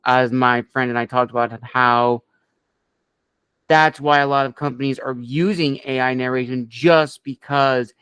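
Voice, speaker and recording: A man hosting an interview with a narrator, affirming and measured as he asks questions. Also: medium pitch, steady tone, fairly bright tone, clean tone, dry recording. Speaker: podcast host.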